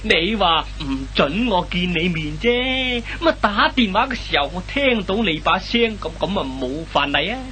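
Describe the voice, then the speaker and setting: Clueless boyfriend, radio comedy. Hong Kong Cantonese, flustered stammer, very animated pitch, forceful bright tone. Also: male voice, normal speed, few pauses. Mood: flustered pleading. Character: bumbling dim-witted hapless boyfriend, pleading and flustered. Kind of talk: comic radio play acting. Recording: old radio recording with faint noise and hum.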